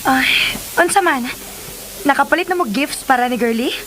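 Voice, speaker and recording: A woman's voice: sits high, normal speed, natural voice. An actress playing a breezy, teasing young Filipino woman in a radio drama, chirpy and polite to her elders.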